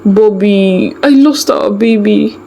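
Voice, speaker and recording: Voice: medium pitch, slow, dark tone, slight background noise, voice pushed up. A storyteller voicing an emotional, pleading young wife in a folktale. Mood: anguished. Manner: crying, pleading delivery. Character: distraught young wife, tearful and self-blaming.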